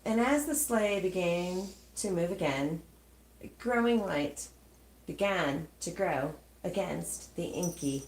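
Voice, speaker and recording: A woman reading a rhyming children's storybook aloud, with dramatic pauses between short phrases. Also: on the low side, lively intonation, a little mellow, echoey room, rough recording.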